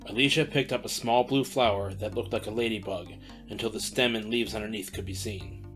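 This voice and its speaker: A man narrates an audio drama in a lowish voice with a steady, descriptive delivery. Guitar music plays clearly behind him throughout. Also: a little fast. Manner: even, descriptive storytelling. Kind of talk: scripted narration.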